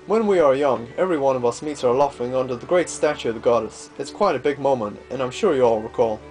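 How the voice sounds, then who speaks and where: A man voicing a gentle, wistful older father from a fantasy game, telling stories in a fond, reflective way. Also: mid-range voice, fast, natural voice. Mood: fond, nostalgic. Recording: game music behind.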